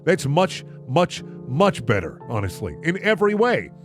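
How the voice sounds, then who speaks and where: A man's voice: fairly high pitch, wildly swooping pitch, normal speed, faint music behind. A man hosting a comedic reading, switching between mock-dramatic narration and casual, sarcastic commentary. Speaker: host reading and reacting to fan fiction.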